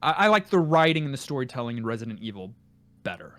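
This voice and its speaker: A man's voice: mid-range voice, big pitch swings, fast talker, fairly bright tone, no room sound. A man hosting a podcast, talking casually and reading out listener comments. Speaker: podcast host.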